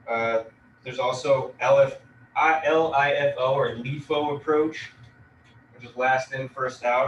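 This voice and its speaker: A man giving a relaxed real estate lecture, leaning on fillers as he explains. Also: mid-range voice. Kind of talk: casual classroom lecture. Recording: echoey room, distant mic, noisy.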